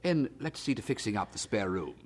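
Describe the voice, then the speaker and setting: Period audio drama: hearty, self-satisfied older brother, husky, very animated delivery. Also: male voice, fairly low pitch, brisk. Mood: reassuring. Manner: chuckling, hearty, condescending, stammers when evasive. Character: jovial, blustering older brother, 18th-century English townsman.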